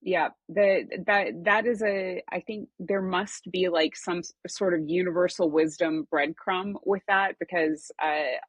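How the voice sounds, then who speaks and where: A woman's voice: lowish voice, fairly even intonation, measured pace, hardly any pauses, husky, no echo. A woman hosting a podcast, chatting casually and affirming her guest, often repeating words as she gathers her thoughts. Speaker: podcast host.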